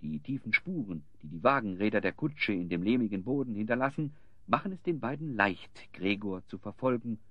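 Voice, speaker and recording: A man's voice: lowish voice, animated, a little fast, dull audio. A man narrating an adventure audio play as a vivid, scripted storyteller. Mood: suspenseful.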